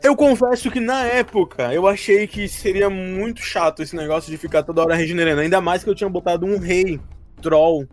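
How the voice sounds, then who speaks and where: A man's voice: high voice, a little fast, faint music behind. A Brazilian man casually recapping a game campaign among friends, in an informal, rambling storytelling way. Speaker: podcast co-host and game master recapping a tabletop campaign.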